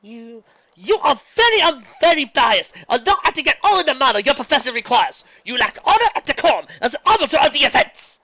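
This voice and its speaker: A woman's voice: sits high, very animated, fairly quick, muffled recording, pitched above the performer's own voice, exaggerated voice. A woman voicing a regal, shy princess pony who scolds in stiff, formal words. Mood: indignant. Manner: stiff formal wording, hesitant shouting.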